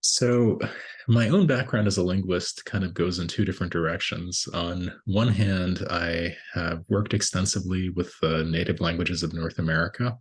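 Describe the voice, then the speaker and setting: A man's voice: deep voice, steady tone, normal speed, breathy edge. A middle-aged man, a native Latvian speaker, giving an academic talk as a linguist, hesitant and full of filler sounds and restarts. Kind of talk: academic presentation with slides.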